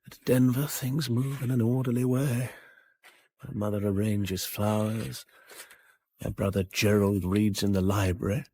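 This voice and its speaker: A refined upper-class English gentleman detective in a radio drama. He speaks slowly and reflectively, with a slightly husky voice. The sound is dry and close, suited to private musing. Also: on the low side, fairly even intonation, dry recording. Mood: wistful musing. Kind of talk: BBC radio drama acting with interior monologue.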